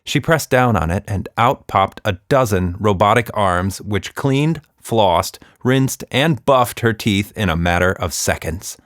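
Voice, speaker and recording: A man's voice: on the low side, lively intonation, measured pace, hardly any pauses, a bit bright, no echo. A man narrating a children's story expressively as a podcast host, recorded close to the mic.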